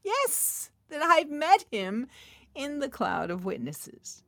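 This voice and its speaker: Slow, soft-spoken woman telling a testimony. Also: sits high, big pitch swings, no echo. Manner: soft, intimate storytelling with laughs. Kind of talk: testimony sermon.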